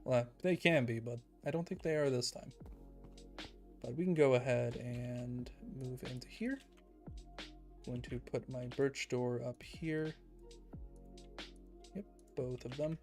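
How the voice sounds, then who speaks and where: Casting: young male streamer, calm and soothing, mid-pitched, soft-spoken, faint music underneath. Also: slow, long pauses. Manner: calm, soft-spoken, self-described monotone. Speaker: Minecraft streamer. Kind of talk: casual livestream chat while building in a game.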